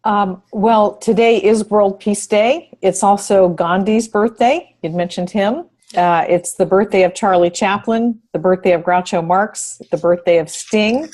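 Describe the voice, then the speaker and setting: A woman's voice: on the low side, darkish tone. A woman panelist making casual, unscripted remarks, effusive and repeating phrases for emphasis.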